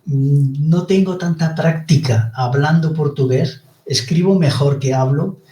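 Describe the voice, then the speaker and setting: Slow-spoken Spanish man, distant and roomy. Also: on the high side, warm tone. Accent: Spain Spanish. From Spain. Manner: slow, deliberate, hesitant delivery. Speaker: podcast guest. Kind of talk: explanatory monologue.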